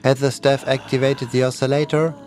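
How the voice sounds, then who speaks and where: A man's voice: medium pitch, level tone, brisk, a little mellow, a little hiss or hum. A man reading a scripted horror story as its narrator.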